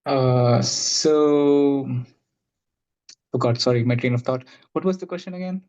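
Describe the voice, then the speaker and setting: A man's voice: mid-range voice, measured pace, a little mellow, rough recording. A man in his thirties giving rambling, casual answers as a dating show contestant. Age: 30s.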